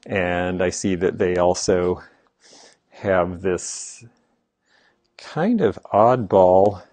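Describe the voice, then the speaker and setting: Low-voiced man touring an antique mall. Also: extremely slow, a little mellow, dry recording. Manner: knowledgeable, explaining items as he browses. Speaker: antique dealer and show host. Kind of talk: casual walkthrough vlog. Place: large indoor antique mall.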